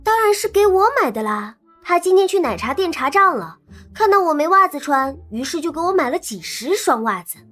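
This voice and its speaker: A woman playing a lively, teasing young college student who loves romance gossip, in a Chinese audio drama. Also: high-pitched, fairly quick, soft background music. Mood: playful, teasing. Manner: teasing, animated, wheedling.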